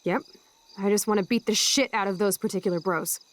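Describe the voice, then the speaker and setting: A woman's voice: medium pitch, brisk, natural voice. Brash, sardonic rebel teenage girl, woman acting. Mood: angry, anxious. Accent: American. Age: young adult. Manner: sardonic, swaggering. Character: brash, rebellious, sarcastic teenage girl, foul-mouthed punk. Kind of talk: video game voice acting.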